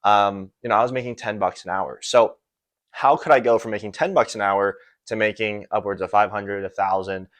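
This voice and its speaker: A man's voice: lowish voice, steady tone, normal speed, fairly bright tone, no echo. A young American man talking casually and unscripted like a business coach, rambling a little and often checking in with the listener. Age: young adult. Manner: conversational, rambling, often tags phrases with "right?". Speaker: online business and sales coach. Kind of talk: casual unscripted motivational explainer to camera.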